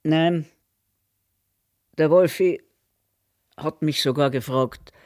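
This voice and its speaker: An actor playing a reflective man with a Bavarian accent who recounts the past in measured, halting phrases in a radio drama. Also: a little high, darkish tone, dry recording, studio quality. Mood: reflective, grave.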